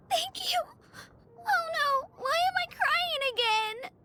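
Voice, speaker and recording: A woman's voice: very high-pitched, exaggerated voice. A woman voicing a timid, earnest young girl in a video game, with a childlike, hesitant delivery. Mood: tearful, grateful. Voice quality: childlike, soft-edged. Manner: hesitant, earnest, stammering on first words. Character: timid, earnest young girl, tearful yet determined gem collector. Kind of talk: scripted video game character lines.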